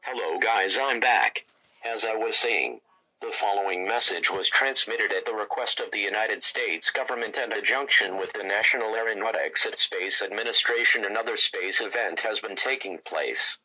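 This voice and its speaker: A man's voice: on the low side, normal speed, sharp tone, poor audio. A man playing an urgent emergency news announcer, reading a scripted bulletin in a formal way. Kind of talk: scripted emergency broadcast narration.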